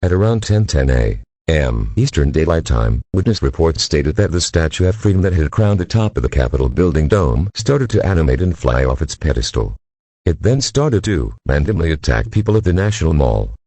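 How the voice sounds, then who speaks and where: A man's voice: rumbling low, big pitch swings, normal speed, dark tone, breathy edge, no echo. A man reading scripted emergency alerts as an announcer, in a formal, synthetic-sounding delivery.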